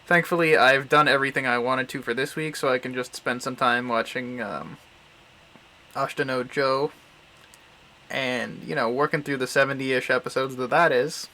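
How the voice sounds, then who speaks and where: A man's voice: medium pitch, fairly even intonation, normal speed, pushed and bright. A man giving casual, rambling commentary as he hosts a visual novel livestream, often muttering to himself. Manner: mutters and hums filler syllables to himself.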